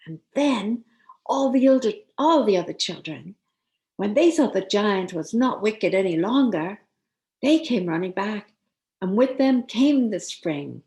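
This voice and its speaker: A woman storyteller reading a children's tale expressively, with deliberate dramatic pauses. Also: medium pitch, animated, mellow.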